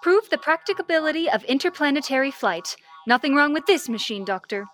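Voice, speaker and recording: A woman's voice: high voice, lively intonation, a little fast, a bit bright, soft background music. A young woman playing a spirited, curious space soldier in old-time radio serial style, with a perky, inquisitive manner. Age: young adult. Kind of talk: 1930s radio serial acting.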